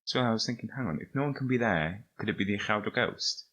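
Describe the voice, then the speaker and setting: Low-voiced man telling anecdotes. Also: expressive, fast talker, fairly bright tone. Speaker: podcast participant.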